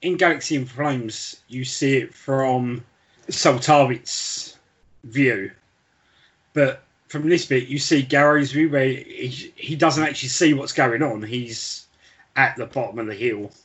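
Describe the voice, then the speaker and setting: A man's voice: medium pitch, slow, a bit bright. A man co-hosting a podcast, casually talking through a book with another person. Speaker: podcast co-host.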